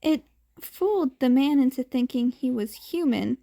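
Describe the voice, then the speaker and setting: A woman with a high voice narrates a fan fiction story. She reads at an unhurried, slow pace, as in a homemade audiobook. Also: lively intonation, creaky, dark tone.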